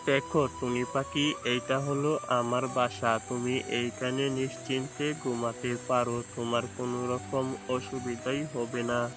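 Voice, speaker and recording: Sly, even-toned scheming vulture played by a man. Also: mid-range voice, unhurried, over music, natural voice. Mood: falsely reassuring. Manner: coaxing, falsely reassuring, plotting. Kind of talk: animated children's fable dialogue.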